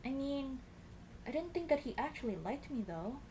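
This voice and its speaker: A woman acting a high-voiced, lively bashful tomboy. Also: normal speed, a little hiss or hum. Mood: embarrassed, fond. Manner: playful, bashful, hesitant, casual dude-talk. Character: shy, teasing tomboy childhood friend in love, young woman. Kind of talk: ASMR roleplay acting, intimate girlfriend scene.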